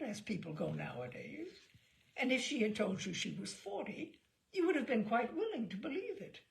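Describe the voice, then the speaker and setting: A woman reading a literary story aloud as an expressive narrator. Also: sits high, vocal fry.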